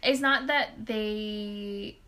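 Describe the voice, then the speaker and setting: A young woman from Canada, born in Quebec with French as her first language, chatting casually as an interview guest, animated, with many "like" fillers. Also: medium pitch, extremely slow. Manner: animated storyteller, many "like" fillers, laughs often. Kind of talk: casual conversation.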